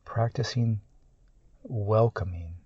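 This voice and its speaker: A man leading a guided meditation in a calm, soothing, measured way, pausing often between short phrases. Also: lowish voice, husky, dry recording, dull audio.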